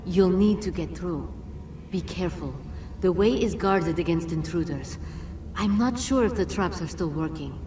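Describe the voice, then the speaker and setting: Woman playing a low-voiced, solemn djinn guardian. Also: warm tone, natural voice. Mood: firm, guiding. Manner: measured, formal, grave delivery. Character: solemn, regal, ancient female djinn guardian. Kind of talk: dramatic video game cutscene acting. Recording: roomy echo, distant, music underneath.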